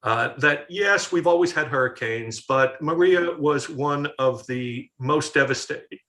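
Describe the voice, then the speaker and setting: A man hosting an interview, asking questions in a measured way with frequent pauses. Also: fairly high pitch, pushed and bright. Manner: measured, many "uh" fillers. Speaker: interview host. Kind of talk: conversational interview.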